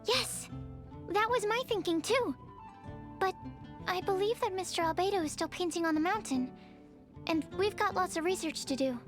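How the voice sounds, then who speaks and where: A bookish young woman alchemist from an anime game. Her voice is very high, and she talks in a hesitant, formal, earnest way. Light music sits behind her. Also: normal speed, long pauses. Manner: hesitant, politely formal, careful. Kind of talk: anime video game dialogue.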